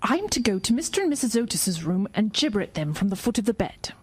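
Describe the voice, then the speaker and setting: A woman's voice: mid-range voice, big pitch swings, quick pace, husky, soft background music. A woman playing a timid yet eager aristocratic lady ghost in a genteel, theatrical radio drama style. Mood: conspiratorial.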